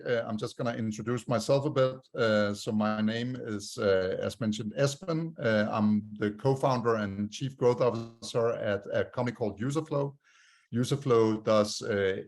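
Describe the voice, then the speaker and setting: Man, Danish accent, lowish voice, webinar presentation, frequent "uh" fillers, video call. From Denmark. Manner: many "uh" fillers, measured delivery. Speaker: guest speaker.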